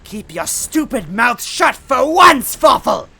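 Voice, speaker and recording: A man puts on a furious storybook witch villainess. The voice is a high, screeching shriek with a sharp, forceful edge and wild swoops. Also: big pitch swings, slow, gravelly, breathy edge, full character voice. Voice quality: screechy, shrill. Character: raging female arch-villain casting a spell.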